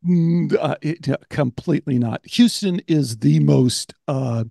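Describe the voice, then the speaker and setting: Older man, gravelly, dark mellow voice, podcast host, dry room. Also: a little high, a little slow, no echo. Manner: animated storyteller, quotes others in voices. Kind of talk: casual conversation. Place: home studio, video call. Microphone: large studio mic on arm.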